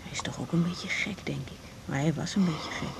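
A woman's voice: sits low, a little fast, gravelly, whispered. An actress playing a curt, defensive married woman doctor in naturalistic radio drama, hesitant when feelings come up. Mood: subdued. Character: tense married woman doctor, defensive and upset over an inheritance.

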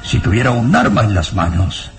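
A deep, frightened professor. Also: male voice, very animated, a little hiss or hum. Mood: nervous, self-reassuring. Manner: trembling, halting, gasping self-talk. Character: frightened professor talking himself into calm, trapped in castle. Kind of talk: old radio serial melodrama acting. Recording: radio drama with music underneath.

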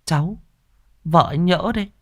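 A woman's voice: fairly low pitch, moderate pace, slightly rough, voice pushed up. A man voicing a desperate young widow who begs and wails through tears. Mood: eager. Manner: begging, tearful wailing.

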